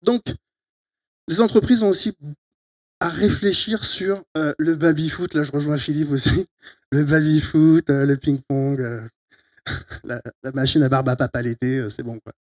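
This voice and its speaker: A man from Italy, giving a lively closing talk at a conference into a handheld microphone, joking and addressing the audience directly. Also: fairly high pitch, measured pace. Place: conference room with a live audience. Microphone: handheld microphone, walking around.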